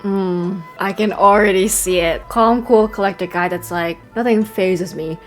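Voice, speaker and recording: A woman's voice: medium pitch, fairly even intonation, unhurried. A woman streamer giving playful, theatrical game reaction commentary, joking along over game music. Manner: theatrical, joking, dramatic mimicry. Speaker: gameplay streamer.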